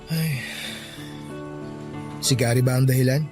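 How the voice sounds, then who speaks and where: An actor with a Filipino accent playing a caring, protective young office worker in a radio drama, speaking earnestly and emphatically. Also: male voice, mid-range voice, steady tone, a little slow, warm tone, faint music behind, natural voice. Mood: concerned, gentle.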